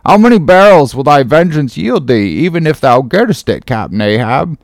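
A man's voice: on the high side, lively intonation, normal speed, gravelly, no echo. A man voicing a sober, principled ship's first mate, earnest, formal and reproving, in a dramatic novel reading. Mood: measured doubt.

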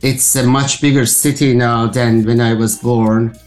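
A man in his sixties from Iran, a guest artist speaking thoughtfully with pauses and fillers over a video call. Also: medium pitch, flat delivery, soft background music. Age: 60s. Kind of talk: casual interview talk.

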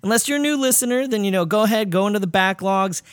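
A man hosting a podcast interview, chatting casually in a slangy, excitable, informal bantering way. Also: high-pitched, fast talker, clean tone, no room sound. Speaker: podcast host.

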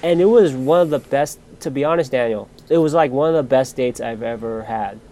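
A man's voice: mid-range voice, fairly quick, mellow. A man hosting a podcast, telling a personal story in casual chat and restarting his sentences often.

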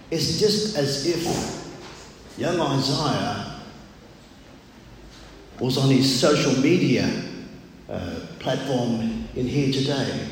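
An older man with a loud voice preaches a sermon in a measured, deliberate manner with frequent pauses. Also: medium pitch. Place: large echoey room, speaking to a congregation. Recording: very echoey hall, clear background noise.

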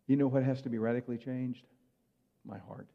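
A man's voice: mid-range voice, level tone, brisk, vocal fry. A man preaching a sermon in a conversational way, asking his listeners rhetorical questions. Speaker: pastor. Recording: muffled hall reverb.

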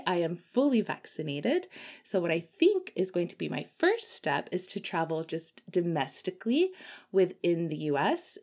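A woman travel vlogger from Texas, likely in her thirties, answering viewer questions in a casual, chatty way and laughing often. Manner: chatty, laughs often, lively swings in pitch. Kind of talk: casual chat.

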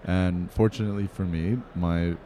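A man from Pennsylvania, a curator, answering interview questions in a measured, earnest way. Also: low voice, fairly even intonation, dark tone, a little hiss or hum. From Pennsylvania, USA.

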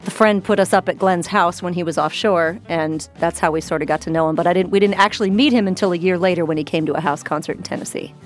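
A woman's voice: on the low side, quick pace, few pauses, soft background music. A woman from the American Midwest, a musician and bar co-owner, answering interview questions in a chatty, storytelling way. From Midwestern United States.

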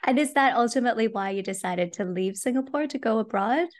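Woman, podcast host and career coach, upbeat and keen, higher voice in a roomy space. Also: fast talker. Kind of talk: podcast interview.

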